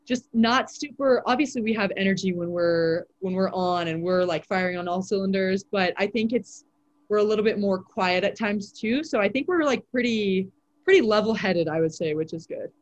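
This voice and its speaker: A teenage girl, a high school volleyball player, answering interview questions in a casual way with lots of "like" fillers. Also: moderate pace. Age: teens. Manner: chatty, many "like" fillers.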